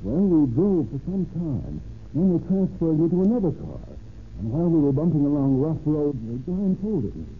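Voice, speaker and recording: Shaken kidnap victim, swooping high-pitched male voice. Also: sing-song, moderate pace. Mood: weary recounting. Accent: American. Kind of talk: 1930s-40s American radio drama acting. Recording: noisy old radio recording, thin narrow band.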